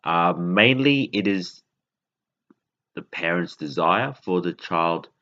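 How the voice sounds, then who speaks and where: A man from Indonesia hosting a podcast, talking in a halting, rambling way and often correcting himself. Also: low-pitched, expressive, pushed and bright. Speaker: podcast host. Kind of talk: casual monologue. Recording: dull lo-fi recording.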